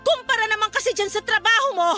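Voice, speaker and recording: A woman's voice: very high voice, fast talker, faint music behind. A woman playing an exasperated, overworked mother with a Filipino accent, nagging sharply in a radio drama. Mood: bitter, irritated. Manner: nagging, sharp-tongued, emphatic rhetorical questions.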